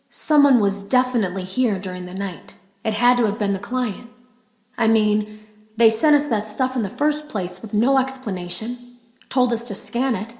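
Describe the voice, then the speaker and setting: A woman's voice: medium pitch, lightly acted. Echoey, uneasy actress as a female game tester. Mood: uneasy, puzzled. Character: uneasy female game tester confiding in secret audio logs. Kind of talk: scripted audio log monologue in a horror game.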